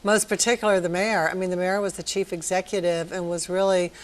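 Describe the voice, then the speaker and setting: A woman from Virginia, with a voice slightly lower than most, answers interview questions about local government. She explains things in a measured, articulate way, pausing on the odd filler word. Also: level tone. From Northern Virginia, USA. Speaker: city manager, interview guest. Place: TV studio.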